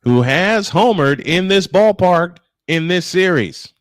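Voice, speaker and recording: A man's voice: high voice, animated, takes it slowly, no room sound. Male baseball play-by-play announcer, dry close sound. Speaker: play-by-play commentator on a live stream. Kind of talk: live baseball play-by-play commentary.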